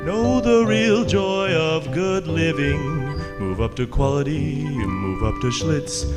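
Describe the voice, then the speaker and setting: A man's voice: fairly high pitch, slow pace, over loud music. A man delivering an old-time radio sponsor jingle, partly sung. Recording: very loud musical accompaniment.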